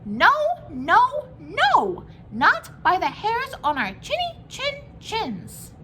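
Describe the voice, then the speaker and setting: A woman's voice: very high-pitched, huge pitch swings, extremely slow, background noise, voice pushed up, heavily acted. A woman voicing a frightened but defiant little storybook pig, squealing emphatic refusals. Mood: frightened defiance.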